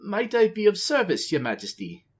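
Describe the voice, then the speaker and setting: A sly young male troll, as a man puts him on, all ingratiating flattery with a lilting troll twang. The voice pushes up high and has an unusual, altered timbre. It is a storybook voice. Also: normal speed, breathy edge, full character voice. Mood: fawning. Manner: ingratiating, with a put-on troll lilt.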